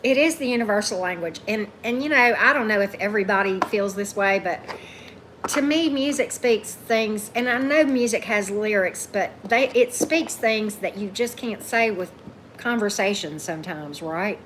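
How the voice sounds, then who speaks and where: A woman with a deep voice, hosting a tarot livestream as casual chat, greeting viewers by name and often tagging on "right?". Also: moderate pace, noisy background. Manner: chatty, keeps asking "right?", greets commenters by name.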